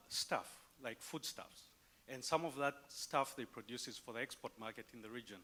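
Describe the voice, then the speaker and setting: A man's voice: medium pitch, a bit bright, a little hiss or hum. A man from Zambia with a Zambian accent, speaking from a conference audience in a polite, upbeat and eager way. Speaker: audience member. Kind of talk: audience comment.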